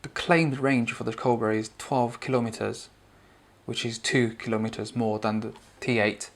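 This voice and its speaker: A man with a mid-pitched voice and a noticeable gravelly creak presents a product review. He explains and demonstrates in a conversational way, talking the listener through each point. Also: fairly even intonation, normal speed.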